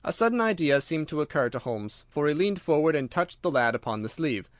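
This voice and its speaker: A man's voice: a little high, animated, fairly quick, dry recording, clean studio sound. A man reading scripted literary narration as an audiobook narrator.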